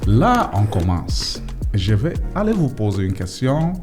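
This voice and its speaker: Deep-voiced man hosting, mellow tone. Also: wildly swooping pitch, measured pace, soft background music. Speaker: host. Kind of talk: podcast interview with youths.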